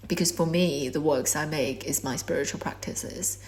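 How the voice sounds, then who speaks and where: A woman in her twenties whose first language is Korean gives a measured, reflective talk about her art. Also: low-pitched, a little mellow. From China. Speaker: artist. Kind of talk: prepared artist talk.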